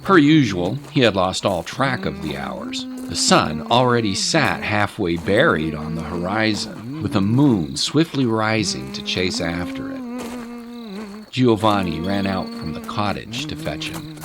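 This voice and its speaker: A man narrating a scripted story in a measured, literary storytelling manner. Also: on the low side, huge pitch swings, noisy background.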